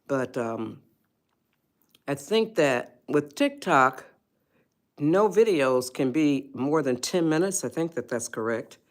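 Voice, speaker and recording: A woman's voice: sits low, lively intonation, slow. A woman of eighty-one, hosting a casual live stream, chatty and rambling, often laughing and greeting viewers. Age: 80s. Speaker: livestream host.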